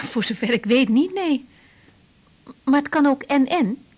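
Lively high-voiced young woman doctor. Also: a little slow. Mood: warm, hesitant. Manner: hesitant, repeating words, informal. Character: young woman doctor, frank, caring but easily thrown. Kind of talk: naturalistic Dutch radio drama acting.

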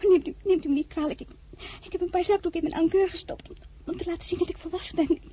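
A woman playing a naive, excitable teenage merchant's daughter in a radio drama, stammering and repeating her words. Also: very high-pitched, rapid-fire. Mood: tearfully relieved.

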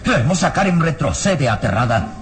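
An actor as the solemn, suspenseful narrator of an old radio serial, delivering scripted dramatic narration. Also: male voice, a little high, big pitch swings, brisk, background noise. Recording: old radio serial over loud music.

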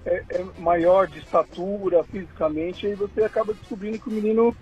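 A man from São who coaches amateur football, talking as a guest in a halting, repetitive way. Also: high voice, fairly even intonation, mellow, muffled recording. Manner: hesitant, repeats words. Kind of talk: casual interview chat.

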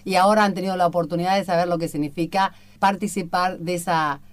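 A woman's voice: fairly low pitch, steady tone, fast. A middle-aged woman with an Argentine accent, speaking as a radio interviewer and host. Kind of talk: conversational interviewing and reading aloud.

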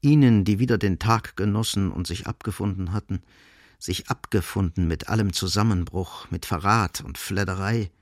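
Man as radio drama narrator, low pitch, grave, measured, deliberate phrasing, dry studio sound. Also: no echo, lightly acted. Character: sombre literary narrator.

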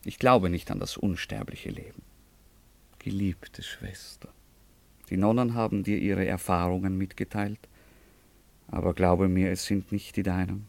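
Sceptical young gentleman lover, deep-ish with some creak, tender and teasing, theatrical old radio drama. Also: fairly even intonation, measured pace, dry recording. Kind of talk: classical German radio drama acting.

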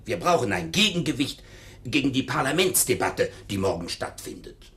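A man's voice: mid-range voice, very animated, normal speed, fairly bright tone. Curt, irritable male newspaper boss. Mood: secretive, firm. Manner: curt, impatient, authoritative. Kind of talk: German radio play acting.

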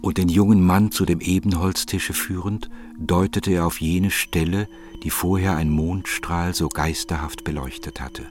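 A man giving a measured, solemn scripted narration of a literary audiobook. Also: sits low, steady tone, darkish tone. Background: music.

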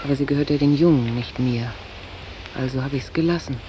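A woman's voice: very deep voice, a little fast. An actress playing Anne, a melancholic, self-doubting German woman, speaking in a subdued, brooding way in a naturalistic radio drama. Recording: hissy, noisy cassette copy of radio play.

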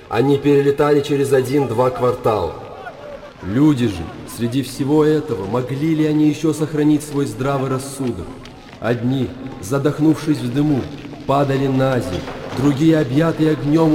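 An actor playing a chronicler who solemnly recalls disasters of old, in declamatory radio drama narration. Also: male voice, mid-range voice, natural voice, poor audio. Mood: grave, intense. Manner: grave, declamatory storytelling. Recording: clear background ambience noise.

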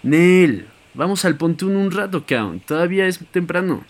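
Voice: mid-range voice, expressive, normal speed, natural voice. An actor playing a cocky, foul-mouthed teenage boy with a Mexican accent in an audio drama, full of brash slang. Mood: brash, teasing. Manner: brash, crude banter.

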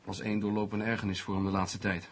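A man's voice: fairly low pitch, flat delivery, fast talker. An actor in a radio play playing a teasing, hard-drinking newspaperman with a pompous, mock-poetic way of talking. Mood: jovial, needling.

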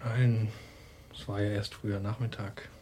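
A man's voice: lowish voice, flat delivery, moderate pace, a little mellow, close to an everyday voice. A man playing a guarded young suspect answering questions in a radio drama.

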